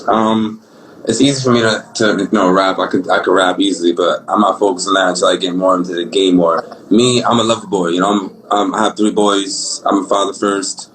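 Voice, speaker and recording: A young man with a Brooklyn accent, an R&B singer talking about his life in a relaxed, rambling, slangy way. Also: low voice, brisk, a little hiss or hum, rough recording. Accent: Brooklyn New York. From Brooklyn, New York. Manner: laid-back, rambling, slangy. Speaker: singer guest. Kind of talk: casual interview talk.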